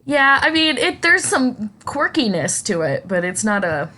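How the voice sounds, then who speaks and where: A woman in her thirties with an Iowa accent, co-hosting a podcast in casual chat while hoarse and raspy from a cold. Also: fairly high pitch, big pitch swings, a little slow, sharp tone. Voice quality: husky, raspy. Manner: chatty, self-deprecating. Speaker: podcast co-host.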